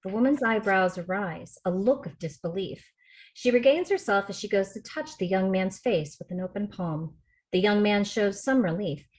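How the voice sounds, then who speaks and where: A woman's voice: medium pitch, lively intonation, moderate pace, a little mellow, poor audio. A woman reading scripted screenplay narration as the stage-direction reader on a video call.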